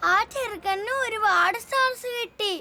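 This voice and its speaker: A woman's voice: very high voice, unhurried. A woman voicing a whiny, jealous young boy in a cartoon. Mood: sulky. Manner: whiny, sulky. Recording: cartoon soundtrack with loud music.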